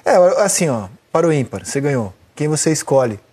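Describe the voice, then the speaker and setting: A man with a Brazilian accent hosting a televised football debate, brisk, calling on each panelist by name. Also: a little high, animated, a little mellow, husky. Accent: Brazilian Portuguese. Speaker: TV sports show host. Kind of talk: sports panel discussion.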